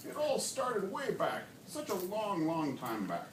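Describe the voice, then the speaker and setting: A man voicing an old, reclusive storybook creature who tells a wistful tale with drawn-out emphasis. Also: high-pitched, huge pitch swings, exaggerated voice. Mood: wistful, wondering. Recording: echoey noisy classroom, distant mic.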